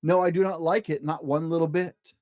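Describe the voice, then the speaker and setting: Man putting on a high, fussy, scolding fish. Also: fairly even intonation, a little fast, darkish tone, no echo, clean studio sound, lightly acted. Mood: indignant, scolding. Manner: indignant, bossy, protesting. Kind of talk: children's storybook read-aloud.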